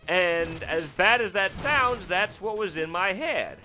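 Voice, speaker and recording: A man's voice: lively intonation, slow, pushed and bright. A man giving casual, rambling commentary over a game he is playing, full of fillers and restarts and with sudden startled yelps. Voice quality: fairly deep voice. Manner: rambling, filler-heavy, self-deprecating. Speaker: let's play host. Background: faint game music.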